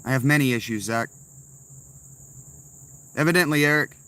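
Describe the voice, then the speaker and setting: A man's voice: medium pitch, normal speed, bright, forceful tone, clean tone. A man streaming live from inside a tent, answering chat messages with blunt, sarcastic replies. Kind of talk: casual livestream chat.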